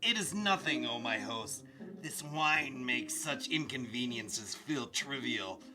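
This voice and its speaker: A man's voice: medium pitch, expressive, takes it slowly, pushed and bright, heavily acted. An actor playing a vengeful male bandit chief in a radio drama, posing as a courteous merchant with formal, florid speech. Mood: falsely genial.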